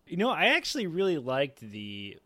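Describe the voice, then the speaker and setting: Man, very varied pitch, forceful bright voice, excitable, emphatic, casual roundtable podcast talk. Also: moderate pace, no room sound. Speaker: podcast panelist.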